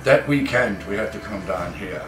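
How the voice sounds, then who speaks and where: A middle-aged man, a mountain manager talking in an interview in a relaxed, rambling way. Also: lowish voice, moderate pace, over music. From Switzerland. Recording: distant echoey mic.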